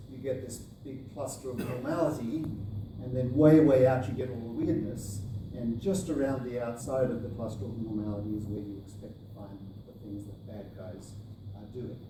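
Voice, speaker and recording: Distant, echoey Australian-Canadian male professor lecturing. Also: medium pitch. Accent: Australian softened by years in Canada. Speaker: professor giving a talk. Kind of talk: academic lecture to an audience. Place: indoor lecture room, picked up from far off. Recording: echoey room, distant mic, clear background noise.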